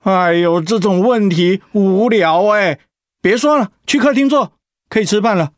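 An actor playing a patient, devoted husband in a radio drama, with a Taiwanese accent and a sighing, placating, hesitant manner. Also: male voice, high voice, a little slow, dry recording, studio quality. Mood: weary, placating.

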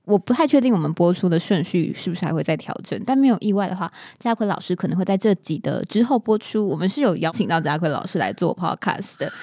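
A woman's voice: on the low side, expressive, fast, hardly any pauses, warm tone, dry recording, clean studio sound. A woman with a Taiwanese Mandarin accent hosting a podcast in a casual, chatty conversational way. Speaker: podcast host.

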